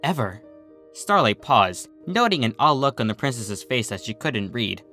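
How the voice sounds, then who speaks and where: Expressive male storyteller, bright voice, music underneath. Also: medium pitch, normal speed. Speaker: storyteller reading fan fiction aloud. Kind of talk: expressive scripted story narration.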